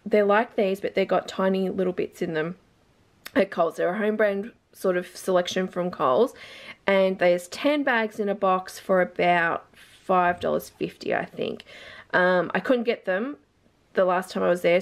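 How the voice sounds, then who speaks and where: An Australian woman, a mother in her thirties, chatting casually to the camera at home as she shows off her grocery bargains, rambling and thinking aloud about prices. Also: medium pitch. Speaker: vlogger mum showing a grocery haul. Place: home, indoors, carpeted floor. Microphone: camera held over products, filming hands.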